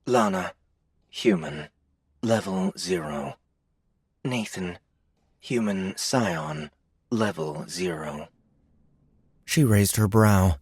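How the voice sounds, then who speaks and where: Deep-voiced male audiobook narrator. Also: gravelly, darkish tone, dry recording, clean studio sound. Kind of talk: scripted fiction narration.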